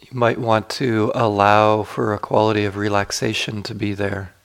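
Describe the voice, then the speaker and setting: Male meditation guide: lowish, creaky voice, unhurried and inviting, leaving space between instructions. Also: flat delivery. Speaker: meditation teacher leading a guided meditation. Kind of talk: guided meditation, slow spoken instruction with long pauses.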